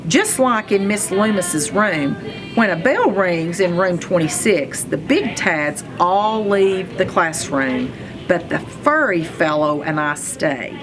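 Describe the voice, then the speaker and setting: Animated, unhurried woman reading a children's story. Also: lowish voice, music in the background. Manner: animated storytelling with acted sound effects. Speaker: school superintendent reading aloud to children. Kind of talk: expressive children's storybook read-aloud in character as first-person narrator.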